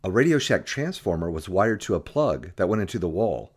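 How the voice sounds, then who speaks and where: A man reading an audiobook as narrator, telling a story in an animated, scripted style with acted dialogue. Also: sits low, fairly quick, breathy edge.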